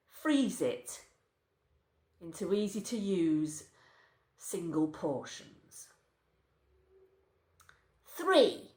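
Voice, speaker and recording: Female story reader, wry and highly animated, extremely unhurried, echoing room. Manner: wry, animated, deliberate pauses between short phrases. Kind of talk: expressive live story reading. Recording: roomy, echoing sound.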